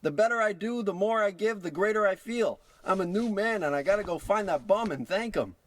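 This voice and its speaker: A man acts out an old miser trying to be good in a spoof of vintage radio drama. His voice sits high, and he comes across as cranky, self-absorbed and manic. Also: fairly quick, a little hiss or hum, lightly acted. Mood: giddy, excited.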